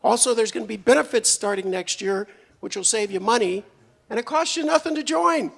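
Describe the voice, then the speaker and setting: A hoarse man speaks from the stage of a church service, upbeat and chatty as he shares membership news. His voice sits high and has a gravelly edge. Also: fairly quick, fairly bright tone. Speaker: membership speaker at a spiritual center. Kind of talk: church announcements.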